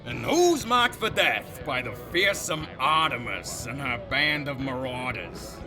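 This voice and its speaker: Wisecracking male barkeep, theatrical drawl, lively swooping pitch, music underneath, audio drama. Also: a little slow. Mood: teasing, curious. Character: folksy, wisecracking male space-saloon barkeep, showy salesman. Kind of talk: space western audio drama acting.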